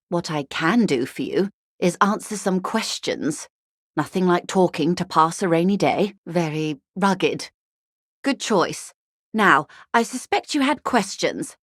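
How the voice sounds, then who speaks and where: An older, well-bred corporate negotiator from a video game. Her voice is on the low side and recorded very dry and close. She is shrewd and unfailingly courteous, with refined, measured phrasing and a dry wit. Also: a bit bright, no room sound. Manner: composed, courteous, dryly witty, measured diplomatic phrasing. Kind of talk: video game character dialogue.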